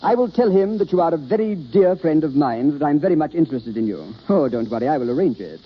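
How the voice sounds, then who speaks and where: A man's voice: fairly high pitch, expressive, fast talker, a little hiss or hum, natural voice. An actor playing a vain, pompous leading man of the theater in grandiose old radio drama style. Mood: condescending. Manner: grandiose, self-important. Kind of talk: 1930s American radio acting. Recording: muffled old radio broadcast.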